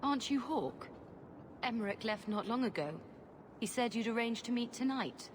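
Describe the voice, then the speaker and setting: A woman's voice: fairly high pitch, normal speed, lightly acted. Actress as earnest townswoman, very noisy background. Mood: puzzled. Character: worried, dutiful woman in a fantasy city. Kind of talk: video game voice acting. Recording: loud ambient noise over voice.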